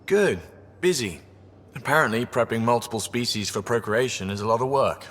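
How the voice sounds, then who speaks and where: An actor playing a wry, chatty spaceship engineer in a video game. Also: male voice, medium pitch, animated, normal speed, a bit bright, in a large hall. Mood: breezy, wry. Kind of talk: video game character acting.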